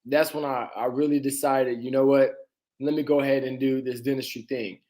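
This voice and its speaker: A young man from Atlanta talks as a dentist guest on an interview show. His voice sits at an ordinary middle pitch, and he speaks casually and easily, with plenty of "uh"s and quick laughs. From Atlanta, Georgia. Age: 20s. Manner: relaxed, laughs easily, frequent "uh" and "you know".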